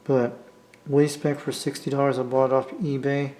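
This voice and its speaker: A man with an American accent talking casually off the cuff as a hobbyist video maker, rambling and halting as he repeats himself. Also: medium pitch, little pitch movement, darkish tone. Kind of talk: casual unscripted vlog talk. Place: indoor room, talking from behind the camera.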